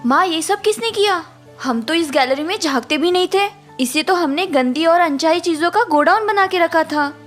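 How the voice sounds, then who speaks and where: A woman playing a curious, easily surprised young sister-in-law in an animated story. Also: extremely high pitch, normal speed, soft background music.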